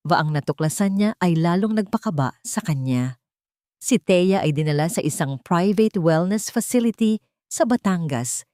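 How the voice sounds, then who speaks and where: A woman with a Filipino accent reading a dramatic story in a measured narrating voice. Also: lowish voice, warm tone, dry recording, clean studio sound.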